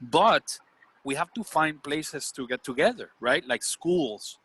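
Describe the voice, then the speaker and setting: Bright-voiced man with a Puerto Rican accent. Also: a little high, lively intonation, takes it slowly, breathy edge, poor audio. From Puerto Rico. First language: Spanish. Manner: earnest, seeks agreement with "right?" and "you know". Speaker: business executive leading a group discussion. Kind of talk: casual group discussion. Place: video call.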